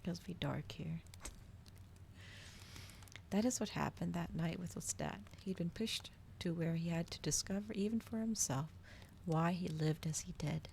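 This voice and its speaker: A woman with a low voice full of vocal fry reads in a soft ASMR style. She keeps it hushed, often dropping toward a whisper, as if telling a bedtime story. There is faint noise behind her. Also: normal speed. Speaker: streamer reading a book aloud.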